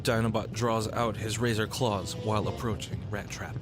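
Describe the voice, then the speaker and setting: A man's voice: lowish voice, fairly even intonation, moderate pace. Male narrator over dramatic music. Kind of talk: scripted action narration, present tense.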